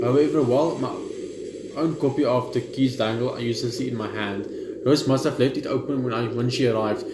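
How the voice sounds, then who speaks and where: A young man hosting a gaming video, reading game text aloud haltingly with casual commentary. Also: medium pitch. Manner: halting when reading, stumbles over words. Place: indoor room at home. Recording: roomy echo, noticeable background noise.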